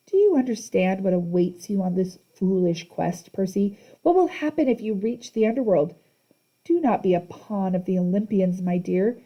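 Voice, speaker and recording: A woman's voice: medium pitch, moderate pace, few pauses, dull audio, close to an everyday voice. A woman voicing Medusa, a monstrous woman posing as a kind old lady, purring and coaxing.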